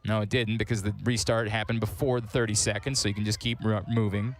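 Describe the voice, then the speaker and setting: A man's voice: lowish voice, a little hiss or hum. A man calling live play-by-play on a sports broadcast, with wry asides between plays. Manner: quick, excitable calls on big plays. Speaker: sports commentator.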